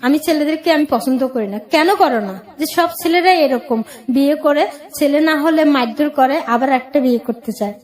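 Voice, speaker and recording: A woman's voice: high voice, normal speed. A woman shares her personal story in an interview. She speaks in a plain-spoken way and relays past conversations in direct speech. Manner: plain-spoken, recounting with acted-out dialogue. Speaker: guest telling own life story.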